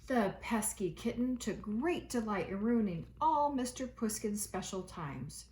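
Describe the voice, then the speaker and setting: A woman teacher reading a children's storybook aloud, with expressive delivery and dramatic emphasis. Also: on the high side, a little slow, slight background noise.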